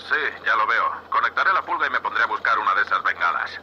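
A man playing a gruff, impatient spaceship captain in an audio drama, curt and scolding, with a Castilian Spanish accent. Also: fairly low pitch, expressive, quick pace, bright, forceful tone, muffled recording, slight background noise. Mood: calm, gruff.

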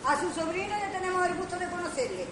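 High-pitched prim lady played by a woman. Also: expressive, fast talker. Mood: prim, formal. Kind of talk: amateur radio comedy acting. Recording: distant, echoey, noisy room.

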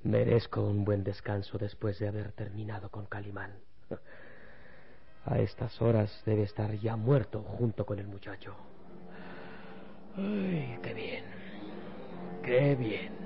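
An actor playing an arrogant, gloating crime boss in an old radio serial, with a husky voice and an imperious manner. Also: male voice, lowish voice, slow pace, long pauses, dull audio. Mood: smug, drowsy. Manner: imperious, self-satisfied.

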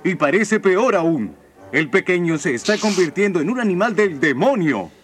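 A man plays a solemn radio-play narrator with a fairly high, swooping voice. He delivers scripted cartoon-parody lines dramatically, on a homemade tape with music underneath. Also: big pitch swings, moderate pace. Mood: dramatic, ominous.

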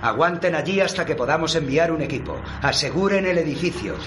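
An actor playing a businesslike command centre radio operator in a radio drama, formal, clipped and procedural. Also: male voice, on the high side, expressive, brisk, natural voice. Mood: urgent, official. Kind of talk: radio drama military dispatch. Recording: echoey, clearly noisy radio call.

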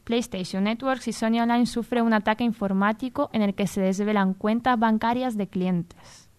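Clear-voiced woman reading radio news. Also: fairly high pitch, fairly even intonation, moderate pace, darkish tone. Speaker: new news contributor on a radio show. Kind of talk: reading news amid casual banter.

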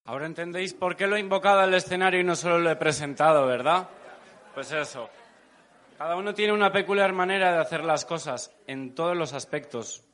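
A man's voice: a little high, fairly quick, a bit bright. A man speaking as a show host, presenting a stage performer.

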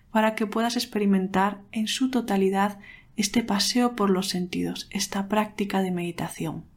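Calm woman guiding a meditation. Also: mid-range voice. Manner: calm, unhurried, soothing, with pauses. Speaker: meditation guide, podcast host. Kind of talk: guided meditation.

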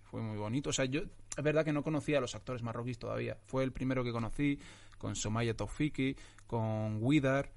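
A man's voice: mid-range voice, a little fast, vocal fry, a little mellow. A man in his twenties, an actor, talking casually in an interview and restarting his sentences often with many fillers. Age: 20s. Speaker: actor guest.

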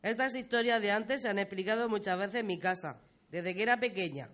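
A woman's voice: mid-range voice, a little fast, fairly bright tone, lightly acted. A woman playing a proud village grandmother reminiscing in a radio play, with a Murcian accent and a folksy, exclamatory way of talking. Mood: proud, fond. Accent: Murcian Spanish. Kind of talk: radio play storytelling dialogue.

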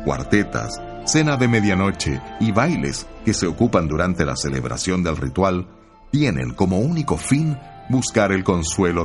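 A man with a Chilean accent reading scripted narration as a radio announcer. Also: deep voice. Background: music.

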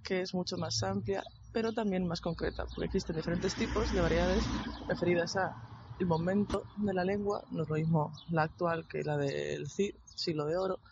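A man giving a didactic, measured explanation as a language expert, in a recorded message. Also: high-pitched, steady tone. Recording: noisy, muffled lo-fi recording.

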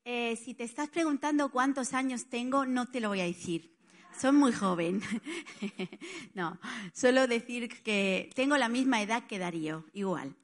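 A woman's voice: on the high side, measured pace. A middle-aged woman from Spain with an Italian tinge to her accent, addressing a congregation informally and jokingly as a visiting pastor. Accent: Spanish with an Italian tinge. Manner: joking, chatty.

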